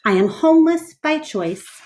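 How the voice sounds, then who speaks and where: A woman's voice: high-pitched, lively intonation, measured pace, darkish tone, voice pushed up. A woman reading a storybook, voicing a small, grumpy, sardonic male dog with an indignant, demanding manner. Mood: indignant, sniffy.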